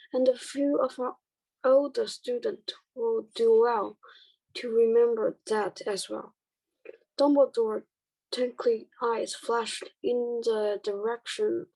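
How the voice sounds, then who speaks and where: Female volunteer reader, high creaky voice, reading a story aloud with halting self-corrections. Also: darkish tone, poor audio. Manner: stumbling, repeats and corrects words.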